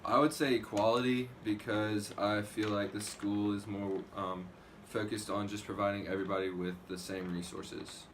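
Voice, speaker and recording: Young male student; low, flat, hesitant, over clear ambient noise. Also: normal speed. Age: young adult. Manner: hesitant, lots of ums. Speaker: student interviewee.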